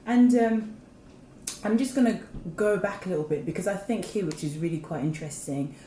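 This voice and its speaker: A woman's voice: medium pitch, a little fast, mellow. A woman co-hosting a podcast, asking long, winding questions in a chatty way. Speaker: podcast co-host. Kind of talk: interview questions. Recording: echoey room, faint noise.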